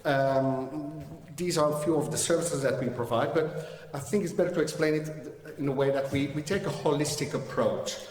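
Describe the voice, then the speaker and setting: Belgian-accented male presenter, halting delivery, distant hall mic. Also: fairly high pitch, darkish tone. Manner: hesitant, many fillers and restarts. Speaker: financial advisor presenting at a seminar. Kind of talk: seminar presentation. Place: large echoey hall. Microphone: distant room mic. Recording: echoey hall recording from far off.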